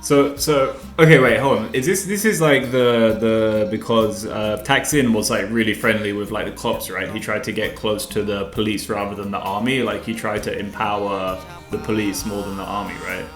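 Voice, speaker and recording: A man talks casually on a podcast, cutting in with quick questions and casual swearing. His voice sits low. The room is echoey, with faint music underneath. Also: steady tone. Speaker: podcast co-host. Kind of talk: casual chat.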